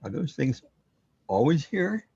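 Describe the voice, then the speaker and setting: An actor playing a troubled, bewildered man in a surreal sci-fi radio drama, hesitant and halting as he muses aloud. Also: on the high side, very animated, creaky, mellow, breathy edge, lo-fi sound, full character voice. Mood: distressed. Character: troubled, bewildered man overwhelmed by cosmic reality.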